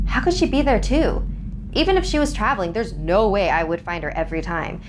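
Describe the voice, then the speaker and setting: A woman reading a first-person horror story in a suspenseful, expressive, dramatic way. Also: on the low side, noisy background. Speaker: narrator. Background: music.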